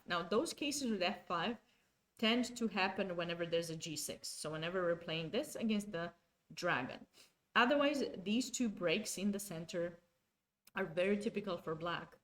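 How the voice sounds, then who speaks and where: A woman, commentating a chess game live and chatting with viewers, often restarting her sentences. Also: medium pitch. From Romania. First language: Romanian. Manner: chatty, self-correcting, talks to chat.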